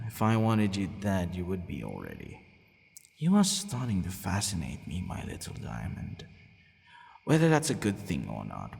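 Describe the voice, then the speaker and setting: A man's voice: sits low, gravelly, heavily acted. A man playing a haughty, possessive vampire who is gradually growing fond of his captive, in an ASMR roleplay, with a teasing, commanding manner and hesitant asides. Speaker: audio roleplay voice actor. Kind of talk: ASMR boyfriend roleplay acting. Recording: long reverberant hall echo.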